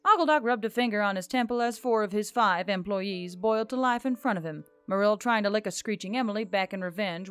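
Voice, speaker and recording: A woman narrates a fiction story in a scripted reading style, at a middle pitch for a woman. Faint music plays beneath her voice. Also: a bit bright, clear, resonant tone. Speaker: audiobook narrator.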